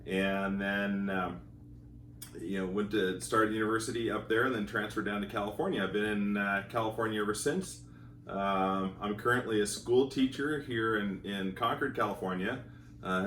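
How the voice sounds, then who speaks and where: A man's voice: on the low side, fairly bright tone, a little hiss or hum. A middle-aged man, a school teacher from Montana, telling his marriage story with many fillers and restarts. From Montana, United States. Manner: halting, many fillers and repeated words. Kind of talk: casual testimonial. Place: home in California. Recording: roomy echo, voice from a distance.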